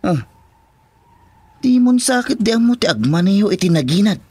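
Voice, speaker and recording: Wisecracking henchman, highish voice. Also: sing-song, normal speed, darkish tone, husky, no echo, heavily acted. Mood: wary. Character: teasing, wisecracking henchman sidekick. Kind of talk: Filipino radio drama acting in Ilocano.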